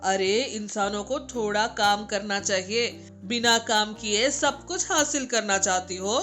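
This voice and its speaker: A woman playing a stern older mother-in-law in a cartoon, lecturing and scolding with a sharp tongue. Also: mid-range voice, a little slow, natural voice. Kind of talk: animated moral comedy story voice acting. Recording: background music under the voice.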